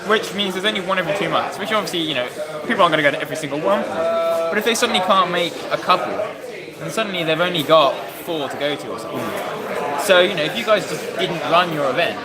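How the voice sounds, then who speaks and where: Loud, husky British man in a busy hall. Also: on the high side, fast talker. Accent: British English. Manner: talkative, loud voice, many fillers. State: a bit husky. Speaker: interviewer, event organiser. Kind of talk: casual interview chat. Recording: crowd noise in a large hall.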